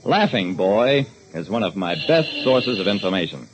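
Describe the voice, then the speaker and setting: A man's voice: lowish voice, big pitch swings, moderate pace. An actor playing a terse, observant private detective, narrating his casebook in a clipped, dramatic 1940s radio style on a hissy old recording. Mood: terse, determined. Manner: dramatic, clipped hard-boiled storytelling.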